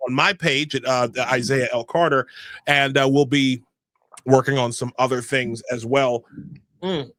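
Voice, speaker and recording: A man's voice: medium pitch, fairly even intonation, measured pace, a bit bright, no echo. A young adult man hosting a live podcast, speaking energetically with frequent filler sounds as he runs announcements and introductions. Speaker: show host.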